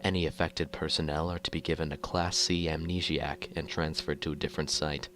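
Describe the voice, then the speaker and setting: Very deep-voiced male horror narrator. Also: little pitch movement, brisk, a bit bright, a little hiss or hum. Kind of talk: scripted horror fiction narration in first person.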